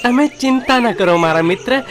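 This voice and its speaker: An actor voicing a sly, scheming jackal in an animated moral story for children. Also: mid-range voice, moderate pace, natural voice. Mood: fawning, friendly.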